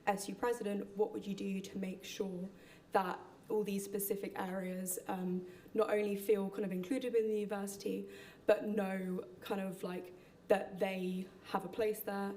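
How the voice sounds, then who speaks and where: A woman's voice: mid-range voice, darkish tone, slight background noise. A young woman asking a long, friendly question, with many fillers. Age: young adult. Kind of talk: question from the audience.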